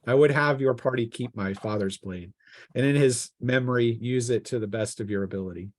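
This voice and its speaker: A man's voice: medium pitch, fairly quick, natural voice. A man voicing a dignified, grieving noblewoman with gracious, composed and formal speech, as a game master would. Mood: solemn, gracious.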